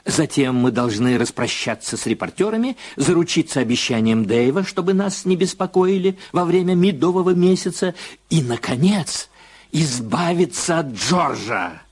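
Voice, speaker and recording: An actor in an audio drama playing a composed, controlling new husband who reassures and persuades. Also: male voice, fairly high pitch, lively intonation, normal speed, breathy edge. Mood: businesslike, tense. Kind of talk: radio play acting.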